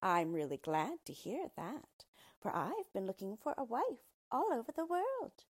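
A woman voicing an ugly little yellow dwarf man from a storybook, smug, sly and disdainful, speaking in a gloating, mock-polite, emphatic way. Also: a little high, big pitch swings, a little slow, husky, pitched above the performer's own voice, exaggerated voice. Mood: eager, scheming. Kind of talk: storybook character reading.

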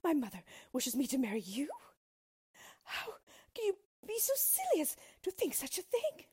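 A woman's voice: sits high, big pitch swings, normal speed, warm tone, breathy edge, pitched above the performer's own voice, heavily acted. A woman reading a storybook, playing a proud, fearful young princess in a dramatic manner. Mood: indignant. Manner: pleading, indignant, dramatic.

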